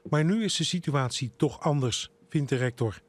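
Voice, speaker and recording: A man's voice: a little high, normal speed, no room sound. A Dutch man reading scripted news narration as a reporter.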